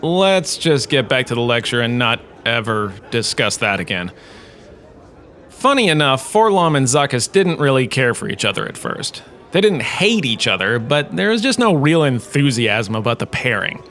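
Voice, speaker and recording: A man's voice: mid-range voice, big pitch swings, normal speed, a bit bright. A man playing a self-important washed-up lecturer in a space cantina, telling lore with wry, theatrical emphasis in a conversational way. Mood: storytelling. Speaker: host, lecturer. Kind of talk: scripted comedic lore lecture, narration.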